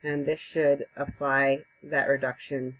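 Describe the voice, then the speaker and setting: A woman giving a casual, conversational software tutorial over a headset mic, with frequent ums. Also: rumbling low, level tone, a little slow, a little hiss or hum, rough recording. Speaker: instructor. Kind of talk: screen-recorded software tutorial.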